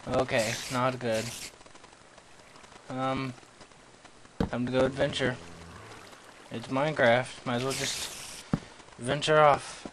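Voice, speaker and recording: A young man narrates his game in a goofy, self-mocking way, scolding monsters and teasing himself. He talks slowly and unhurriedly, at a middling pitch. The recording carries clear background noise. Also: level tone, fairly bright tone. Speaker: gamer playing commentary. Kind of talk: let's play commentary. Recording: noisy home recording.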